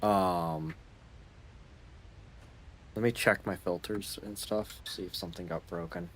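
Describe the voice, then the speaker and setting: A man's voice: sits low, steady tone, slow pace, a bit bright, a little hiss or hum. A man streaming a factory-building game, chatting casually and humming to himself while he adjusts his audio settings. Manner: easygoing, hums while fiddling with settings. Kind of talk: casual gaming chat.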